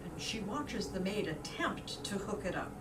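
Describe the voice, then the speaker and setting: A woman's voice: medium pitch, lively intonation, moderate pace. A woman narrator reading scripted stage directions for a staged play reading. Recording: distant roomy recording with background noise.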